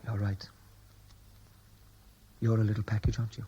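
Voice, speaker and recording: A restless man back home from America in an old radio drama. He is dry, laconic and teasing, with a Welsh accent touched by American. His voice is dark, soft-edged and husky. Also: lowish voice, completely flat delivery, moderate pace, lo-fi sound. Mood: wry, detached. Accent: Welsh with an American tinge. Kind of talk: 1960s radio drama acting.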